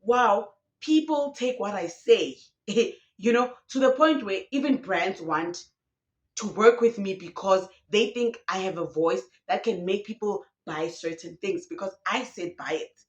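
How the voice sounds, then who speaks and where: A woman's voice: mid-range voice, a little slow, roomy sound, lo-fi sound. A young woman YouTuber, ranting passionately in casual commentary, recorded alone in a room. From South Africa. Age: young adult. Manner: passionate, ranting, mixing in local slang.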